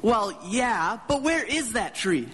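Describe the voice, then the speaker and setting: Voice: mid-range voice, very animated, slow, a bit bright, slightly rough, exaggerated voice. A performer voicing an eager, adventurous twelve-year-old boy in a radio drama, chatty and earnest. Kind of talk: live radio drama acting. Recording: reverberant stage hall, background noise.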